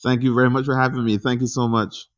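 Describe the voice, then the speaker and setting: A man in his forties from Barbados, a guest chatting casually in an interview, relaxed, laughing easily and pausing with ums. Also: medium pitch, highs cut off. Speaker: guest entrepreneur.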